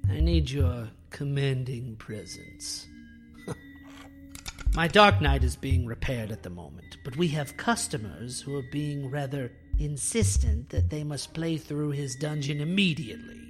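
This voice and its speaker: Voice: medium pitch, animated, measured pace, close to an everyday voice. An actor playing a proud, bitter alien scientist in a sci-fi audio drama, speaking in a haughty, theatrical, precise and formal way. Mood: scheming, insistent. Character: proud, bitter alien scientist who builds biohybrid creatures. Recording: music under the voice.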